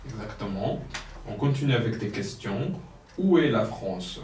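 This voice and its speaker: A man runs a French drill, patiently prompting and confirming each answer. His voice sits on the low side. He is recorded from a distance in an echoey room with a faint hiss behind. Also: expressive, a little slow, a little mellow. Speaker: French teacher. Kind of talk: language lesson drill. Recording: roomy, distant mic, faint noise.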